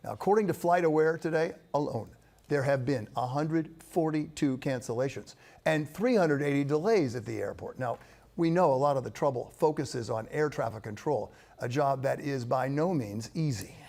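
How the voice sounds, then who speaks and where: A man's voice: mid-range voice, animated, moderate pace, gravelly, a little mellow. A man presenting scripted television news as an anchor.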